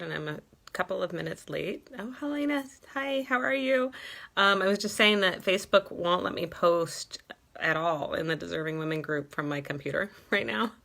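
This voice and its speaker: Low, bright-voiced congested woman chatting. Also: gravelly. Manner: chatty, scattered, laughing asides. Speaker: wellness coach. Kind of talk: casual live stream talk. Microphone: phone.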